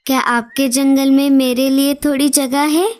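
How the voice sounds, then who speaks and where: Woman voicing a high, slow-talking polite female crow. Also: level tone, a little mellow. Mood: curious, earnest. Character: female crow newcomer, polite, weary of drought. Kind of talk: Hindi cartoon story dialogue.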